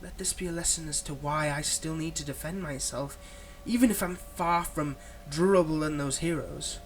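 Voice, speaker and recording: A man playing a manipulative, soothing male villain in an ASMR roleplay, speaking in a soft, coaxing and dramatic way with deliberate pauses. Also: fairly high pitch, a little hiss or hum, lightly acted. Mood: teasing, subdued. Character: manipulative, soothing male supervillain, tenderly possessive of his captive.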